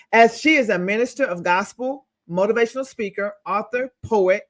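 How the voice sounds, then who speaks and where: A woman hosting a talk show, reading out guest introductions with heavy emphasis and dramatic pauses. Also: mid-range voice. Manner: emphatic, pausing between words. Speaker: host.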